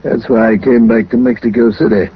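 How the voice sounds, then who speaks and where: An actor playing a swaggering gangster boss in a 1940s radio drama, blunt and slangy. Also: male voice, medium pitch, fairly even intonation, fairly quick, natural voice. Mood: brusque. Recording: muffled 1940s radio recording, faint noise.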